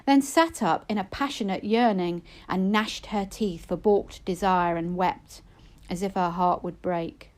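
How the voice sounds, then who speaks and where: Slow, measured woman reading narrative verse. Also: mid-range voice, a little mellow. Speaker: poetry reader.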